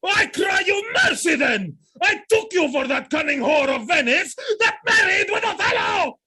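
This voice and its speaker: A man playing a jealous, enraged noble commander and husband in a Shakespearean audio drama, theatrical and volatile, with a rough husky voice. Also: very high-pitched, very animated, moderate pace, exaggerated voice. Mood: scornful rage. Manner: theatrical, volatile, swinging from hushed to shouting.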